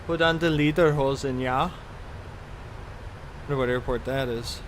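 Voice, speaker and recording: Casual man over steady hum. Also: medium pitch, a little slow, low-quality recording. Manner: rambling, offhand, mildly profane. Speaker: flight sim live streamer. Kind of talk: casual chat while playing a flight simulator.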